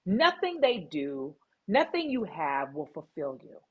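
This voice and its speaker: Husky-voiced, animated woman. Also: deep voice, measured pace, narrow, tinny audio. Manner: emphatic, animated storytelling with laughs. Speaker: guest. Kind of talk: candid interview conversation.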